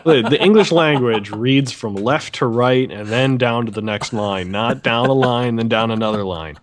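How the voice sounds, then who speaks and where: A man co-hosting a movie podcast, making dry, deadpan wisecracks. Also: medium pitch, moderate pace. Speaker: podcast co-host. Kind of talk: casual movie review chat.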